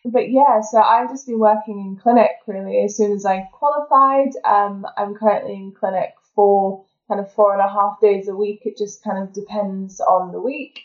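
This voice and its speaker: A woman's voice: medium pitch, fairly even intonation, normal speed, hardly any pauses, echoey room, highs cut off. A young woman from England with a fairly neutral English accent, chatting casually as an interview guest about her work and food blog, with lots of fillers and easy laughter. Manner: chatty, laughs often, many fillers like kind of. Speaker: dental therapist and food blogger, guest.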